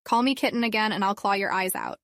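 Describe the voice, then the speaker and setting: A woman's voice: mid-range voice, fast, a bit bright, dry recording, clean studio sound, natural voice. Feisty smug hellcat girl, hissing threats, a woman puts her on.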